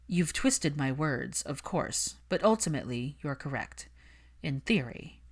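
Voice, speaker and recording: Audiobook role: posh, icy young man read by a woman, low voice, dry clipped delivery, easily irritated. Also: expressive, a little slow, breathy edge, exaggerated voice. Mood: dry disdain. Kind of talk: audiobook character dialogue.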